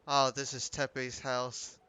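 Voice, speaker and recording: Guy streaming a game, slow casual reactions, really crisp bright voice, faint music behind. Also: medium pitch, completely flat delivery.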